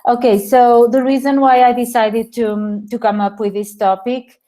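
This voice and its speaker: A woman with a Portuguese accent presenting a webinar over a video call, halting often and restarting her sentences. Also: on the high side, little pitch movement, mellow. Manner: hesitant, frequent pauses and restarts.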